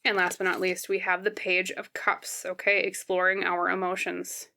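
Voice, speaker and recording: A woman with a slightly scratchy voice giving a casual, conversational tarot reading to her viewers, explaining gently and often checking in. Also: lowish voice, monotone, moderate pace, vocal fry. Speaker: tarot reader, host.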